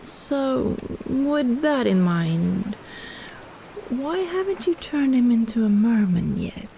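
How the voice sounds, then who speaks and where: Woman voicing a teasing female kraken, mellow and dark, creaky edge, bossy cooing, possessive, ASMR roleplay. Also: on the high side, sing-song, slow, a little hiss or hum, heavily acted. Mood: pushy, teasing. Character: possessive, teasing, overpowering female kraken, big-sister type. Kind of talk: roleplay audio drama, ASMR.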